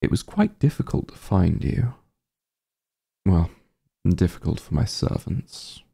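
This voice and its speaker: A man playing an arrogant, menacing sorcerer king in an ASMR roleplay, pompous and condescending, with deliberate formal diction. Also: deep voice, sing-song, vocal fry, mellow, slightly rough, heavily acted. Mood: haughty, stern.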